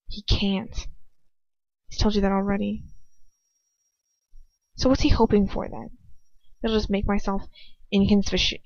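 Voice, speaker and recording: A woman reading as a frightened, despairing young woman who argues with herself in a halting, anguished way. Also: medium pitch, gravelly, no room sound. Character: frightened, despairing young woman narrating her own inner turmoil. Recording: dull, muffled lo-fi home recording.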